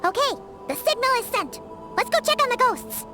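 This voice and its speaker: A woman voicing a small, excitable fairy companion in a video game, with a squeaky childlike voice. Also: moderate pace, over music. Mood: thoughtful.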